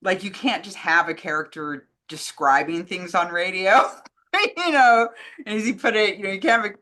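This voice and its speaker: A woman's voice: medium pitch, moderate pace, pushed and bright. A woman in casual conversation, talking in an enthusiastic, rambling way as a co-host. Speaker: co-host answering fan questions. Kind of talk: casual chat.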